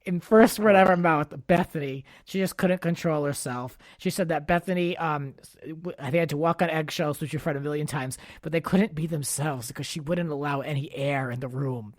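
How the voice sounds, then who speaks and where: A man's voice: fairly high pitch, fairly quick, hardly any pauses, no echo, studio quality. A man hosting a podcast, chatting casually and giving his opinions freely with lots of filler words. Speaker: podcast host. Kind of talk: casual pop culture chat.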